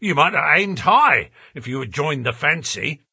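A man voicing a gruff, blunt, burly porter and former prize fighter in an audiobook story. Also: on the high side, sing-song, normal speed, no echo, clean studio sound, pitched above the performer's own voice, exaggerated voice. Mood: amazed, hearty. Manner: rough working-class grammar, blunt and loud.

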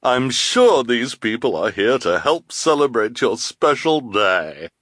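A man's voice: medium pitch, big pitch swings, slow, fairly bright tone, no echo, clean studio sound, voice pushed up, exaggerated voice. A man voicing a fussy, upbeat, oblivious scout leader in a storybook, placating and prissy.

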